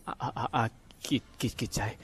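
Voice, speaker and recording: A man acting a grasping, abusive old father in a radio drama, with a Hong Kong Cantonese accent and a halting, coarse delivery. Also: medium pitch, fairly even intonation, a little hiss or hum, close to an everyday voice. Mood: pained, panicked. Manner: halting, stammering, groaning, coarse. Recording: music behind the voice.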